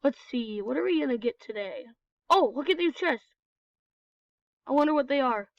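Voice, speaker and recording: Stuffy nasal girl with a cold rambling thru her gameplay, kinda high voice, dead dry muffled mic. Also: animated, moderate pace, vocal fry, no room sound. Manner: chatty, rambling, apologetic. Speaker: gameplay video host. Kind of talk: casual game commentary. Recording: dull, lo-fi, dry recording.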